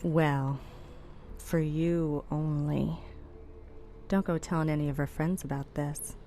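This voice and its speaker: Dark, sultry streetwalker. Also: female voice, low-pitched, steady tone, slow, soft background music. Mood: seductive. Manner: sultry, drawling come-on. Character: flirtatious female street prostitute, sultry and streetwise. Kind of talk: video game acting.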